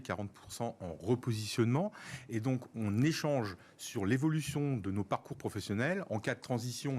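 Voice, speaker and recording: A man in his fifties from France, a guest in an interview, explaining his professional network in a measured way and citing figures. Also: medium pitch, a little mellow. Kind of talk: radio interview answers.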